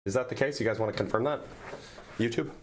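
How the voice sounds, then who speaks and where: A man hosting a call-in livestream, his voice raspy and a bit quiet from a cold, rambling and talking to his chat. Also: mid-range voice, fast talker, slight background noise. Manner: rambling, self-correcting, talks to chat. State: hoarse from a cold. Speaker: streamer hosting a call-in debate. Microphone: mic on screen, directional.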